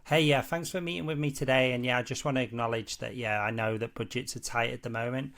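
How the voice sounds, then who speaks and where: A man with noticeable vocal fry and a medium pitch presents a training demo. His talk is casual and explanatory, with a conciliatory and slightly hesitant manner. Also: fairly even intonation, fast talker, a bit bright. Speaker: presenter demonstrating a roleplay training tool.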